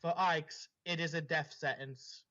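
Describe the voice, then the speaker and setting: A man's voice: on the high side, steady tone, unhurried, pushed and bright. A man reading a story aloud to friends in a casual way, over a dull lo-fi voice chat. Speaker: story reader.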